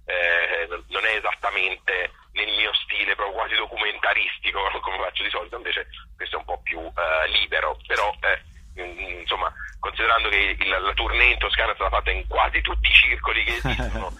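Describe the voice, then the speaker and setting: A man with a Tuscan accent talking off the cuff as a phone-in interview guest, halting and repeating words as he looks for the phrasing. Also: low voice, fairly even intonation, pushed and bright, breathy edge. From provincial Tuscany, Italy. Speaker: interview guest, playwright and actor. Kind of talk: spontaneous phone interview talk. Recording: harsh telephone line on radio.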